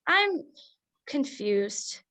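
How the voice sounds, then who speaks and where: A woman playing a stressed, defensive doctor mother in a staged drama reading, with a forceful, insistent manner. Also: high-pitched, very animated, extremely slow, dry recording, heavily acted. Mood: confused, pressing.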